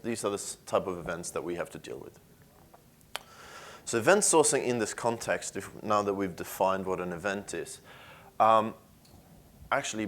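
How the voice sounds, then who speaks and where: A man's voice: low-pitched, fairly bright tone. A man in his thirties from Sweden with a Swedish accent, giving a technical conference talk in a casual, conversational way with frequent filler sounds. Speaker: conference speaker. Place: conference room with an audience.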